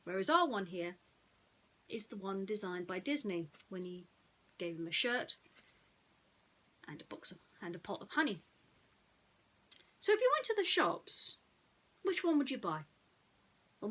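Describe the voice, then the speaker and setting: A woman's voice: medium pitch, big pitch swings, normal speed, pauses often, a bit bright. A woman storyteller narrating a bedtime story in a chatty way, putting questions to her listeners. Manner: addresses listeners with rhetorical questions.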